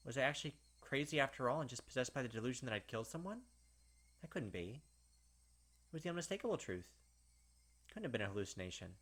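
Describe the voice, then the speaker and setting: A man's voice: mid-range voice, fairly bright tone. A man narrating a visual novel as a distraught, obsessive young killer who doubts his sanity, brooding and repeating himself insistently. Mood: shaken, doubting. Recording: game noise behind the voice.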